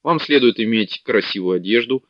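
A man's voice: lowish voice, expressive, normal speed, dry recording, natural voice. A man voicing a sleepy, greedy, fat male merchant who talks down to people, on a dull, dry audiobook recording. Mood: calculating. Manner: haughty, contemptuous.

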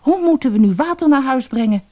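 A narrator putting on a high-pitched, bossy eldest brother. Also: lively intonation, brisk, dark tone. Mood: desperate. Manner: insistent, exclaiming. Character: boastful, squabbling eldest brother, a boy.

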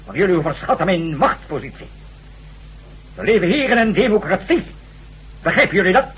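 An actor playing an evasive, stubborn president in a radio drama, speaking in a hesitant, pompous way and repeating himself. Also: male voice, high voice, big pitch swings, a little fast, a bit bright, slightly rough, highs cut off, exaggerated voice. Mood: defensive, indignant. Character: evasive, fussy, stubborn head of state. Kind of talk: Dutch radio drama acting. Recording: slightly noisy old radio recording.

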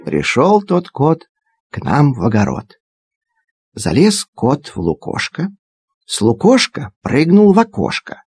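A man reciting children's verse as a theatrical storyteller, expressive and dramatic. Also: a little high, unhurried. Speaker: storyteller, performer. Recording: digitized cassette audio play. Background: music.

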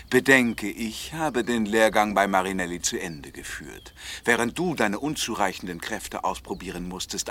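An actor playing a scheming long-lost uncle, a boastful magician, smooth-talking and condescending, in a 1960s German radio play. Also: male voice, low voice, a bit bright. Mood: boastful, coaxing. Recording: noisy 1960 radio play recording.

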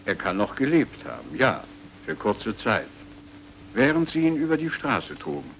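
An actor playing a curt, evasive and formal doctor in a German radio crime drama. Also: male voice, medium pitch, sing-song, normal speed, fairly bright tone. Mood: curt, guarded. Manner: clipped, reserved, evasive. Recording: old radio recording with background noise.

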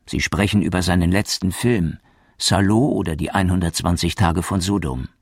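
A man's voice: deep voice, normal speed, dry recording, studio quality. A man as a documentary narrator in a radio play, reading scripted reports soberly and factually.

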